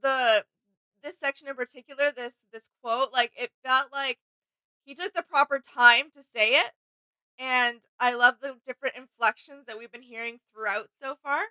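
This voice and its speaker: A woman offers casual reaction commentary as a co-host. Her voice is a touch high, very bright and smooth, recorded dry. She sounds informal and agreeable. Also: steady tone, moderate pace, dry recording, poor audio.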